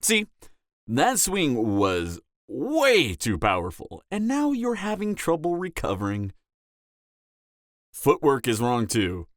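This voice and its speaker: A man's voice: medium pitch, huge pitch swings, slow pace, dry recording. A man playing a cocky, flirtatious vampire mentor in an audio roleplay, lecturing in a teasing, sardonic way. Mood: teasing, critical. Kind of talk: dramatic audio roleplay acting.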